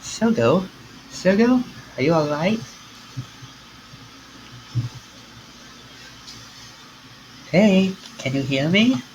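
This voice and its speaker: A man voicing a fussy, concerned young woman in an animated, pushy way for a visual novel. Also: high-pitched, unhurried, highs cut off, a little hiss or hum, voice pushed up. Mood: worried. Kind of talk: amateur visual novel character reading.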